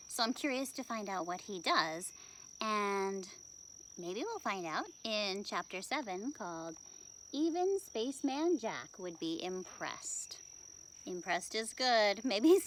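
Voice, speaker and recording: A woman's voice: medium pitch, very animated, slow pace, a bit bright, slight background noise, low-quality recording. A woman reading a children's book aloud expressively as a storyteller.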